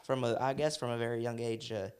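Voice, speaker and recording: A young man from Arkansas sits for a relaxed interview, talking about his work and home. He laughs easily and often trips over the first words of a sentence before finding his way. His voice sits in a medium range. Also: mid-range voice, quick pace, clear, resonant tone. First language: Spanish and English at home, Salvadoran parents. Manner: easygoing, laughs often, repeats words as he starts. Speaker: interview guest, living-facility director. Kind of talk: casual interview chat.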